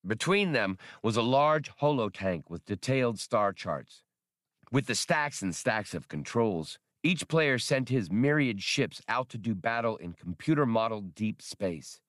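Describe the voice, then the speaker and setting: Male audiobook reader for science fiction, fairly low voice, dry studio-like sound. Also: expressive, unhurried, fairly bright tone, no room sound. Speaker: audiobook narrator. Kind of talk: scripted narration of a science fiction novel.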